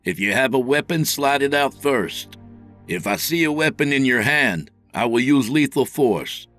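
A narrator, a man, acts out a dutiful male cop in a horror story. He speaks with firm authority in a low voice, with faint music behind. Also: moderate pace, close to an everyday voice. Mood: stern command. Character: commanding, dutiful male police officer with a gun.

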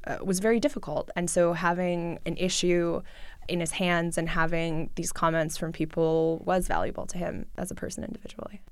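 Gravelly, chatty woman. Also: lowish voice, fairly even intonation, normal speed, no echo. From New York City. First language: Russian. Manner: chatty, many fillers like "like" and "I think". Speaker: journalist guest. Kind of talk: casual interview talk.

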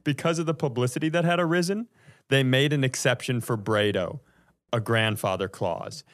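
A man's voice: medium pitch, moderate pace. A man hosting a comedy podcast, telling a story from notes in a casual, profane way and often chuckling as he goes.

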